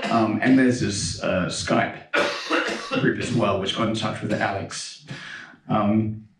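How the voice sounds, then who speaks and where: Young man, low voice, casual lecture full of fillers, roomy hall, mic at a distance. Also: takes it slowly, slight background noise. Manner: relaxed, chatty, with frequent fillers and restarts. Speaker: pianist giving a keynote talk. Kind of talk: conference lecture with video examples. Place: large dark concert hall. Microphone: handheld mic.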